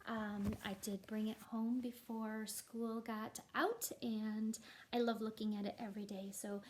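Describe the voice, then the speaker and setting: A woman's voice: a little high, little pitch movement, unhurried, a little mellow, a little hiss or hum, rough recording. A woman, a primary school teacher, chatting to young pupils in an encouraging way. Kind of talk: read-aloud and chat to young pupils. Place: at home.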